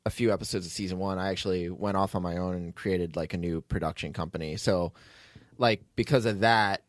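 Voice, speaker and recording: A man's voice: deep voice, fairly even intonation, fairly quick, dry recording. A man hosting a podcast in casual, joking chat, with a dry, teasing manner, close to the microphone. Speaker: podcast host, audio drama writer and producer.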